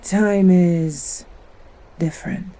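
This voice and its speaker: A woman playing a dignified old matriarch of giant earth titans, slow and solemn, in a fantasy audio drama. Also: on the low side, fairly even intonation, warm tone. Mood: solemn, explaining.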